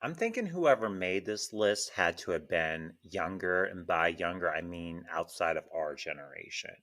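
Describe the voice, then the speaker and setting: A man's voice: low voice, lively intonation, normal speed, fairly bright tone. A man chatting casually as a panelist on a group podcast, in an agreeable, affirming manner.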